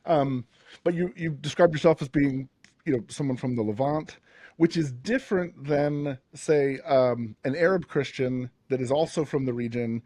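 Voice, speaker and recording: A man's voice: mid-range voice, moderate pace, mellow, no echo. A man hosting a podcast, asking long questions while searching for words, with many restarts. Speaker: podcast host. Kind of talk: interview questions.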